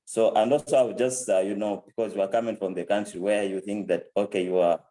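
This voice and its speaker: Mellow low-voiced young Zambian man. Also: fairly even intonation, quick pace, poor audio. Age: 20s. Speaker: program alumnus and country director. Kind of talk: informal testimonial speech.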